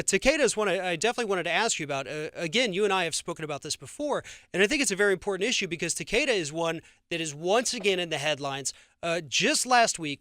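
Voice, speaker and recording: High-pitched American man, bright and emphatic. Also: smooth, dry recording, studio quality. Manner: emphatic, indignant, stammering repeats of words. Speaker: radio host, interviewer. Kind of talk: radio interview and commentary.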